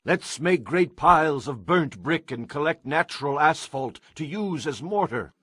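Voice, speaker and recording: Give me an eager townsman with a lively, up-and-down voice, rallying people in a Bible drama. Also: on the high side, a little slow, slightly rough, dry recording, clean studio sound, exaggerated voice. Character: male townsman urging tower building. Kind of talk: dramatized audio Bible acting.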